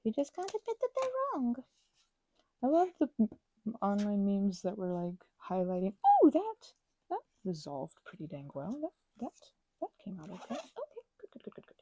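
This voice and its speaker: A woman hosting an ASMR channel who drifts between whispers and soft, self-mocking chatter while she crafts. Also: mid-range voice, wildly swooping pitch, moderate pace, no room sound, low-quality recording. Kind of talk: soft-spoken crafting ramble.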